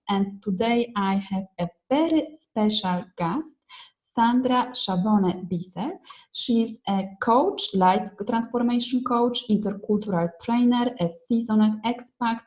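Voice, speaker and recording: A woman's voice: medium pitch, a little mellow, dull audio. A woman hosting a podcast, introducing her guest and asking questions in a friendly, encouraging way. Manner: friendly, encouraging, short affirmations. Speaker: podcast host. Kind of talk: podcast interview.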